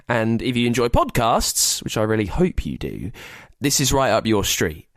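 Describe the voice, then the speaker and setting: A man's voice: lowish voice, no room sound, studio quality. A man speaking as a podcast host, delivering a scripted but conversational introduction in a chatty, informal way.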